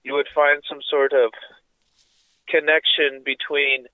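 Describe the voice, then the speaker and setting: A man's voice: fairly high pitch, level tone, takes it slowly, no echo, narrow, tinny audio. A congested man with a cough and sore throat, chatting casually on a podcast in a rambling, profane way. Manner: rambling, profane, full of fillers. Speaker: podcast co-host. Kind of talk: casual chat.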